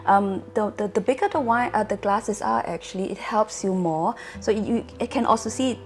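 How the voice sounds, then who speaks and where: A woman from Germany talks casually as she explains things to a companion. Her pitch is medium and her delivery is halting, with pauses to search for words. Soft music plays behind her. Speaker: wine-savvy guest explaining to host.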